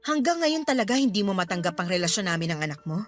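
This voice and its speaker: A woman's voice: mid-range voice, sing-song, fast talker, soft background music, close to an everyday voice. Woman acting a long-suffering girlfriend, dry retorts. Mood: fed up, defiant. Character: long-suffering middle-aged live-in girlfriend, finally talking back. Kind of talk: Tagalog radio drama acting.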